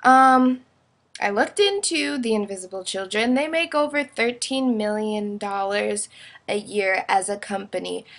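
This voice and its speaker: Young adult female vlogger, slightly high voice, casual and opinionated, blunt informal delivery. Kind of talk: casual opinionated video monologue.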